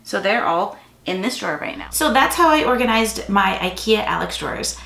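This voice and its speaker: A woman's voice: medium pitch, normal speed, vocal fry. A woman in her forties hosting a craft channel, chatting casually as she shows what is in her drawers and correcting herself as she goes. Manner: chatty, self-correcting, relaxed. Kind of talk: casual show-and-tell narration. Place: home craft room, indoors. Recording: bright echoey room with faint noise.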